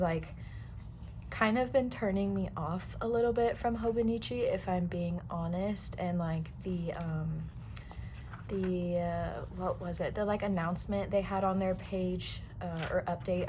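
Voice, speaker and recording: A young woman vlogger chatting casually about her planners, a little hoarse as she gets over a cold, rambling with lots of fillers. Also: on the low side, a little mellow, slight background noise. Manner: rambling, many fillers and restarts. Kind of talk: casual chat.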